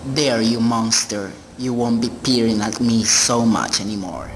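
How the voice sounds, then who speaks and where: A man acting in a radio play as a moody husband who turns into a cruel drunkard, in a theatrical, deliberate delivery. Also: mid-range voice, close to an everyday voice. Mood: menacing. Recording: noisy, long hall-like reverb.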